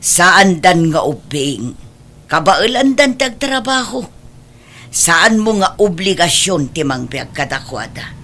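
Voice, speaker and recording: A woman acting a self-sacrificing, middle-aged mother in a radio drama. She has a lowish voice and speaks earnestly to her grown children, firm yet caring as she admonishes them. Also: sing-song, slow, a bit bright, heavily acted. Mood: gently explaining.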